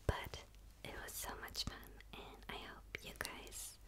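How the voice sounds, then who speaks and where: Woman, soft whisper, casual ASMR creator chat, addressing viewers, close-miked. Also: a little slow.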